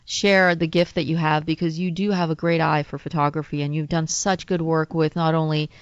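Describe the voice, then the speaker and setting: A man's voice: fairly high pitch, little pitch movement, a little fast, clear, resonant tone, no echo, highs cut off. A man from Bangladesh hosting an interview, full of praise for his guest. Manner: warm praise, many fillers and restarts. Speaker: radio show host.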